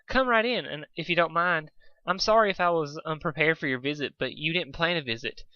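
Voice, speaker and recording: A man voicing a timid, gentle female pony who rambles apologetically, as in a cartoon fan story. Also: a little high, fairly quick, no room sound, dull audio, pitched above the performer's own voice. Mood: timid concern.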